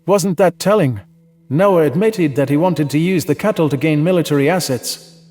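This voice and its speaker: A man reading a dramatized science fiction story in the first person, earnest and measured with a dramatic delivery. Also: fairly high pitch, a little mellow, soft background music. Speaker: audiobook narrator.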